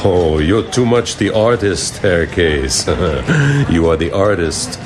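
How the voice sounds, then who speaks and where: An actor playing a sardonic barkeep in a seedy futuristic bar, taunting with slow, deliberate phrasing in a radio drama. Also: male voice, sits low, lively intonation, background noise. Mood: sardonic, amused. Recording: loud music behind.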